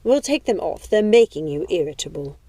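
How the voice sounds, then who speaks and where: A woman's voice: on the low side, sing-song, brisk, warm tone, no room sound. A woman voicing an older aristocratic mother, testy, commanding and composed, in an audiobook story. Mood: reproving. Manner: commanding, reproving.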